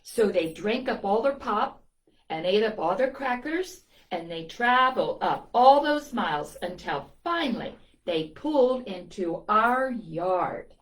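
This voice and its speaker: Woman, children's storytime reader, unhurried pace, mid-range voice, expressive delivery, picture-book narration. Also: medium pitch, low-quality recording. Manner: expressive storytelling with asides to young listeners.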